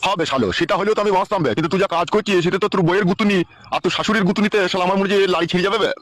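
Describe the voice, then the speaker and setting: A man's voice: sits high, rapid-fire, no echo. A man playing an exasperated, aggrieved father who rants and curses in an amateur village comedy cartoon. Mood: aggrieved ranting. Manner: ranting, cursing, aggrieved. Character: exasperated foul-mouthed father scolding his grown son. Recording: loud music under the voice.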